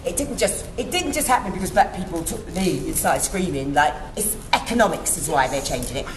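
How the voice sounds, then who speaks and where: Panelist: forceful, passionate woman, roomy echo, noisy room. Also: on the low side, a little fast. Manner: emphatic, passionate, animated. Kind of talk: panel discussion. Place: room at a youth forum event. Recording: echoey room with background noise.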